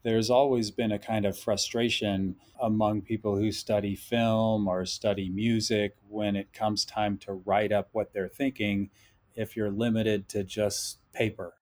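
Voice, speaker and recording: A man talking as a podcast guest and instructor, thoughtful and measured, often hedging his points. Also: fairly low pitch, flat delivery, a little mellow. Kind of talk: podcast interview talk.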